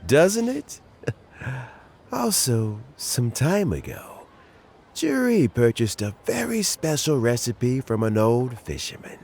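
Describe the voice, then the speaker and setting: An actor playing a gentle, chuckling old man near retirement who loves fishing, in a game dub. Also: medium pitch, sing-song, slow, a little hiss or hum. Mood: fond. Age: older. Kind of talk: video game dub acting.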